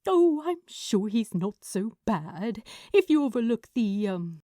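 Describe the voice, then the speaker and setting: A woman voicing a fussy, kindly, anxious gentleman angel in an audio story, speaking politely and hesitantly. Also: a little high, very animated, takes it slowly, no echo, studio quality. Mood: awkwardly reassuring. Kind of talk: audio fanfiction character acting.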